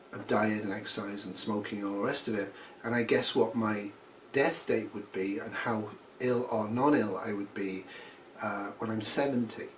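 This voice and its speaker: A man's voice: lowish voice, recorded from a distance, a little hiss or hum, rough recording. Blunt sarcastic lecturer in his fifties. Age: 50s. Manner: blunt, dry, sarcastic asides. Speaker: nursing instructor. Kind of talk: recorded course announcement.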